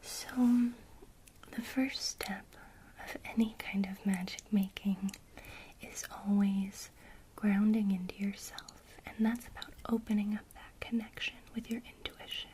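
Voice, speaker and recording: A woman whispering a gentle witchcraft lesson in ASMR style, speaking directly to the listener. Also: medium pitch, fairly even intonation, measured pace, poor audio. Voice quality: soft unvoiced whisper throughout. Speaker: ASMR creator teaching witchcraft.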